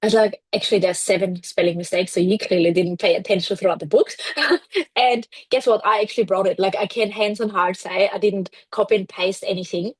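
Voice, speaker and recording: Woman, from Austria, English speaker, breathy tone, blunt and animated, dry sound. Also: medium pitch, a little fast, no room sound. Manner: frank, animated, laughs often. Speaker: podcast guest, brand strategist. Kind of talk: casual interview chat.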